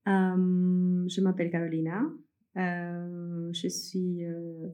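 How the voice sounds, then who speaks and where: Mellow-voiced woman from Peru, hesitant speech. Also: fairly low pitch, monotone, slow. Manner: hesitant, many fillers and restarts, laughs often. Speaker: guest, entrepreneur. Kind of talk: casual interview conversation.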